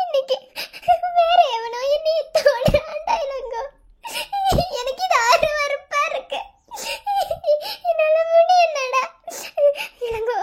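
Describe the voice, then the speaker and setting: Very high-pitched, tearful young woman acted by a woman. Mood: tearful despair. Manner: tearful, imploring. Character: distressed young female student pleading with her blackmailer. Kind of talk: melodramatic Tamil audio drama acting.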